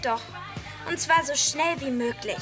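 A woman's voice: sits high, unhurried, over music. A young actress playing a proud, angry teenage schoolgirl in a TV drama, indignant and defiant. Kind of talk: scripted TV drama dialogue.

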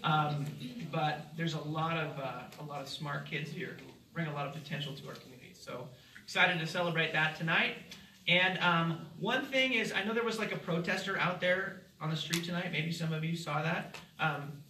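A man addresses a crowd from a stage in a large hall, with a fairly high, bright voice. He is earnest and fills his pauses with ums. The sound is roomy and caught from far off by the camera. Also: slight background noise. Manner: earnest, many filler ums, relaxed. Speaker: event organizer. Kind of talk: speech to an audience.

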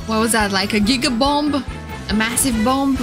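A woman's voice: a little high, moderate pace. A woman streamer giving casual, gushing, exclamatory reaction commentary. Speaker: VTuber streamer. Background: game trailer music.

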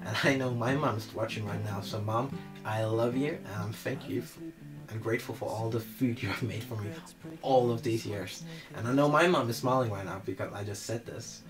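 A man's voice: lowish voice, normal speed. Young man vlogging casually over music. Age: young adult. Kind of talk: casual chat to camera. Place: small indoor room.

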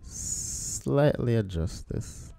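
A man, a photographer, talking through a photo edit step by step in a relaxed screen-recorded tutorial, thinking aloud as he works. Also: mid-range voice, extremely slow, gravelly, clear, resonant tone. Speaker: photographer teaching photo editing.

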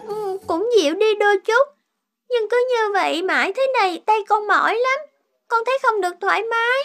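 A woman voicing a sweet young daughter in an animated cartoon. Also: very high voice, normal speed, exaggerated voice. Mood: plaintive. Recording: music behind the voice.

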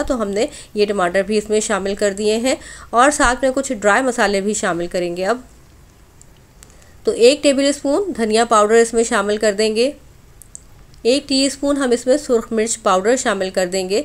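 A woman's voice: fairly high pitch, a little mellow. A woman hosting a cooking channel, narrating a recipe step by step in an instructional voice-over addressed straight to viewers.

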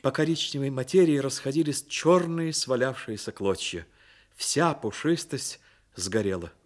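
A man narrating a radio play as a dramatic storyteller, measured and expressive. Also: mid-range voice.

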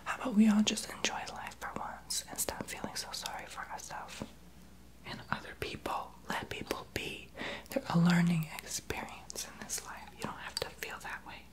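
A man's voice: high voice, steady tone, normal speed, natural voice, poor audio. A young man voicing a sassy, unqualified therapist in a whispered ASMR roleplay. He whispers blunt, sarcastic remarks close to the mic in a small home office. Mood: scolding. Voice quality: soft unvoiced whisper. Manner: blunt, sarcastic, gossipy sass. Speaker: ASMR creator.

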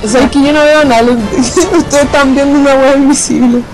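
A woman's voice: sits high, steady tone, fairly quick, music in the background, low-quality recording. A woman with a Chilean accent chatting casually as a player in a group video game. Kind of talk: casual group gameplay chat. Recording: loud game sound over voice chat.

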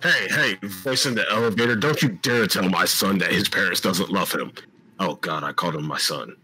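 A deep, bright and forceful voice. A man plays a fatherly animatronic bear in a game parody, worn out, deadpan and forever exasperated with his young charge. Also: normal speed, soft background music, poor audio. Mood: indignant. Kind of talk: improvised comedic game fandub.

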